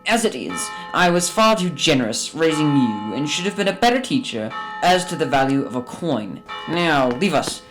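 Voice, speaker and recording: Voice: mid-range voice, big pitch swings, moderate pace, hardly any pauses, over music, natural voice, rough recording. Someone playing a bitter old miser. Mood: grumbling, impatient. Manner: curt, scolding, grumbling. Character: bitter, miserly old male businessman, grumbling and scornful. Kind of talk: radio drama acting.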